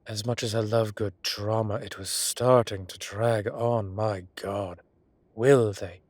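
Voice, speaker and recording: Guy doing an ancient god type for roleplay audio, deepish breathy voice, slow gossipy drawl, teasing old romantic. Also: fairly even intonation, darkish tone, no echo. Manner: theatrical, drawling, gossipy and indulgent. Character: ancient male godlike being, teasing old romantic softy.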